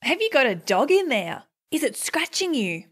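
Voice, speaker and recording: A high-pitched, earnest female office worker, played by a woman in an audiobook. She is polite, eager and officious toward her superior. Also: big pitch swings, moderate pace. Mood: officious, helpful.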